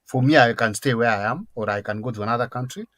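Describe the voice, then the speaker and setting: A man's voice: medium pitch, fast, fairly bright tone, dry recording, studio quality. A Kenyan man with a Kenyan accent, arguing emphatically in a political discussion. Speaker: panelist.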